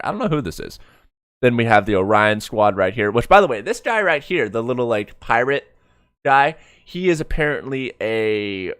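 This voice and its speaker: A man's voice: on the low side, a bit bright, no room sound, studio quality. A man giving casual reaction commentary as a streamer, rambling and often correcting himself mid-thought. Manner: rambling, trailing self-corrections. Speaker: streamer reviewing an animated comic.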